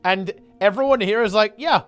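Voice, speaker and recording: A man's voice: on the high side, sing-song, quick pace, soft background music. A man who streams games, chatting casually in a blunt, slangy, conversational way. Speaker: game streamer. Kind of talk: casual gaming chat.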